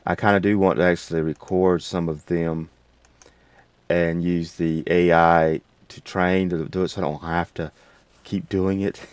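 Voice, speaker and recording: A man hosting a casual, unscripted software tutorial in a rambling, folksy way. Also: low voice, level tone, unhurried, smooth, no echo. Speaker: tutorial host.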